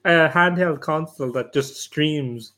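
A man's voice: a little high, unhurried, pushed and bright. A man talks as one of a podcast panel. His delivery is informal and conversational, full of casual banter.

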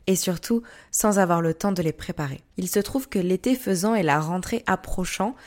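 A young woman, a student, hosting her own podcast and talking to listeners in a steady, explanatory way. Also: on the low side, moderate pace. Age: young adult. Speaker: podcast host. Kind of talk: casual podcast monologue.

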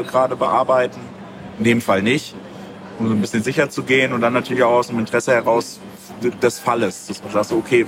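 A man speaking casually as an interview guest, thinking aloud in a rambling way. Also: mid-range voice. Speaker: interview guest, graphic novel creator. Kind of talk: casual chat. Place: outdoors at a busy book fair. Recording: clearly noisy outdoor fair ambience.

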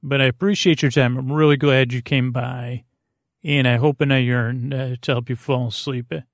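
A man hosting a bedtime podcast in a creaky, soothing voice, meandering haltingly and repeating himself with gentle silliness. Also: mid-range voice, fairly even intonation, dry recording, clean studio sound. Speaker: podcast host. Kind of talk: rambling, meandering bedtime talk to lull listeners to sleep. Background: music.

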